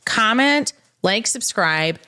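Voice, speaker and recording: A woman's voice: medium pitch, lively intonation, takes it slowly, bright, forceful tone, clear, resonant tone. A woman hosting a legal podcast as a trial attorney, telling stories in a casual, conversational way and repeating phrases for emphasis.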